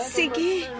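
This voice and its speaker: A woman's voice: extremely high pitch, full character voice. A woman acting a sweet, grateful young graduate in an Ilocano radio drama, with an Ilocano accent.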